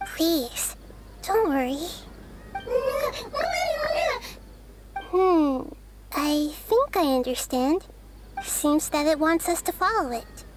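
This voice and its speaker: A woman voicing a gentle, wise, childlike girl deity in an anime game, soft-spoken, measured and thoughtful. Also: sits high, animated, noisy background. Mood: reassuring. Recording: soft music behind the voice.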